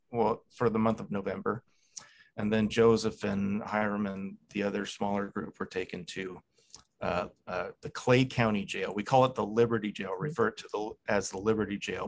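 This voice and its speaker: Halting, low, creaky-voiced man. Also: a little hiss or hum. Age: middle-aged. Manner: halting, hesitant delivery with repeated words. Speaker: historian guest. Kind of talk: explanatory historical discussion. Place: small home office, indoors. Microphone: video call computer mic.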